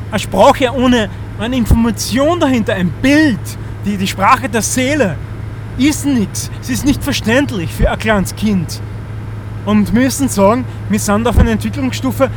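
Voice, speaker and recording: An Austrian man in his thirties with a fairly high voice speaks in a loose, earnest monologue. He often stumbles and restarts as he hunts for the right words. Faint street and car noise sits behind him outdoors. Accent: Austrian German. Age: 30s. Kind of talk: casual rambling monologue.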